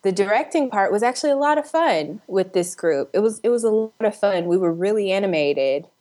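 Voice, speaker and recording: A woman's voice: mid-range voice, lively intonation, fairly quick, dark tone. A woman chatting casually and upbeat as an interview guest, talking like someone who works in film. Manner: upbeat, chuckles while talking.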